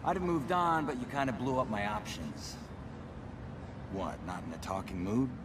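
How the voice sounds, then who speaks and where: A man's voice: medium pitch, big pitch swings, moderate pace, close to an everyday voice. Actor as a distant, weary, talkative man. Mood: wry, coaxing. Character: weary, talkative man confiding in a silent partner. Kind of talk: dramatic video game voice acting. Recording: distant mic, noisy outdoor ambience.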